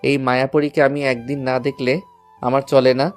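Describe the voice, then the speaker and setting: A man as lovesick schoolboy, earnest, sentimental, flat even intonation, medium pitch, music bed. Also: moderate pace, natural voice. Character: lovesick, devoted schoolboy, respectful to elders. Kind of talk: Bengali audio story acting.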